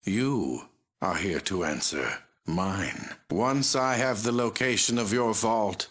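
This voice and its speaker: Slow, deep-voiced mutant overlord. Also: male voice, expressive, fairly bright tone, slightly rough, exaggerated voice. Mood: cold, menacing. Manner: slow, commanding, imperious. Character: deep megalomaniac male mutant overlord, cold and menacing. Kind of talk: video game villain voice acting.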